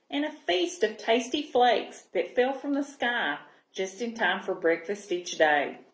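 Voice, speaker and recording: A woman reading a picture book aloud to young children as a library storyteller, in an expressive manner. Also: mid-range voice. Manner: expressive, pausing to check understanding with listeners. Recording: roomy, echoing sound.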